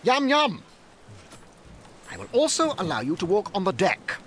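A man acting a cold, condescending slave-trading ship captain in a radio drama, talking with slow emphasis. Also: high-pitched, wildly swooping pitch, fairly bright tone, a little hiss or hum, heavily acted. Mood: impatient, scornful.

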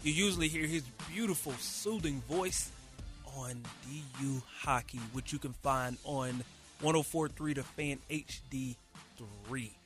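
A man co-hosting a casual sports podcast, talking with animated energy and frequent restarts. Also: fairly high pitch, measured pace, soft background music. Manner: animated, hype-filled delivery with many restarts. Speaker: podcast co-host, radio producer.

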